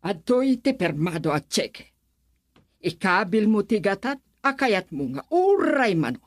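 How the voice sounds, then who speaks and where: A woman's voice: mid-range voice, very animated, measured pace, no echo, studio quality. A woman playing a haughty, domineering middle-aged mother of means in a radio melodrama, imperious and disdainful. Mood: cold, haughty. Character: haughty wealthy domineering mother of a grown son.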